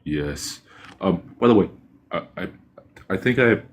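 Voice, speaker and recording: A man in his twenties with a very deep voice, chatting casually in a halting, shy way with many false starts. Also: lively intonation. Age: 20s. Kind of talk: casual chat.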